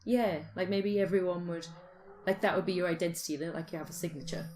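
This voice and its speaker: A British woman with a fairly low voice talks as a podcast host. She is informal and musing, working through ideas aloud in casual, speculative chat. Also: fairly even intonation, fast talker, background noise.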